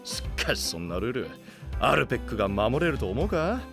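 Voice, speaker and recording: A man playing a gruff, ambitious knight boss with a soft heart, speaking in brash delinquent style in an anime voice drama. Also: medium pitch, big pitch swings, normal speed. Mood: wry preview. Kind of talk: Japanese anime voice drama acting. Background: background music.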